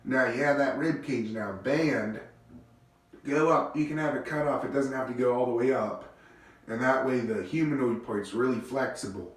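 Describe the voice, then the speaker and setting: A man's voice: moderate pace, far from the mic. A congested, stuffy-sounding man hosting a casual, rambling monologue from his home bathroom. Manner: rambling, low-energy musing. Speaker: host. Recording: very echoey bathroom, voice off-mic.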